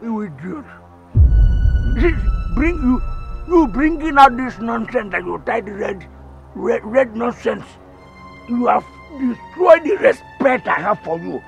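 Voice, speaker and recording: A man's voice: very high-pitched, big pitch swings, slow, vocal fry, lo-fi sound, faint music behind, exaggerated voice. A man with a Nigerian Igbo accent playing an indignant, hot-tempered villager, hurling insults and stammering over repeated words in a film drama. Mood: scornful. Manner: scornful, stammering repetition.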